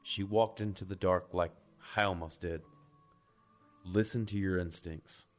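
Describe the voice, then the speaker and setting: Low-voiced man narrating a horror story. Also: fairly even intonation, unhurried. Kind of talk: first-person scripted creepypasta narration. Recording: music behind the voice.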